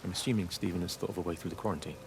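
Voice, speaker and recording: Deep-voiced, concerned village man. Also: fairly even intonation, racing, creaky, noisy background. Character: concerned, steady village man looking after others. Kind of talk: naturalistic video game voice acting.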